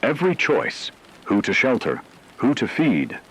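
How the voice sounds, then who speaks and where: A man playing a solemn radio correspondent who reports on a global catastrophe in measured, formal, scripted narration. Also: mid-range voice, huge pitch swings, fairly bright tone, husky, heavily acted, low-quality recording. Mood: grave, urgent.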